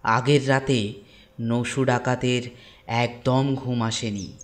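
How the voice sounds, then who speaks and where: A man narrating a scripted horror story in a deliberate way, with dramatic pauses between phrases. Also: fairly low pitch.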